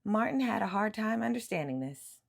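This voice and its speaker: Female storyteller for a children's picture book, lively and emphatic, with some vocal fry. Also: mid-range voice. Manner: animated, emphatic storytelling with drawn-out words. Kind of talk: expressive read-aloud of a children's picture book.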